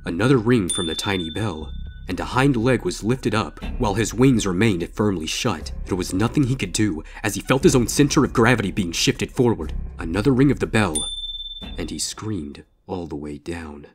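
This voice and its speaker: Deep-voiced man narrating a story. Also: expressive, moderate pace, faint music behind. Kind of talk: dramatic scripted fan fiction narration.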